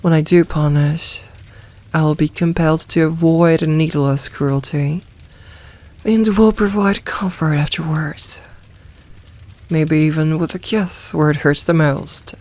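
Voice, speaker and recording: Woman voicing a slow, low-voiced possessive demoness. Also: steady tone, darkish tone. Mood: firm, indulgent. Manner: teasing, commanding, deliberate with drawn-out pauses. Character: possessive, teasing, dominant demoness with a hungry streak. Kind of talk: ASMR roleplay, soft and intimate.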